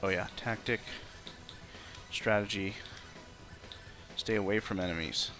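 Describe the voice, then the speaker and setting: A man's voice: on the low side, steady tone, measured pace. A man chatting casually as he streams and plays a video game, with game music behind him. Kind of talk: casual chat while playing a video game.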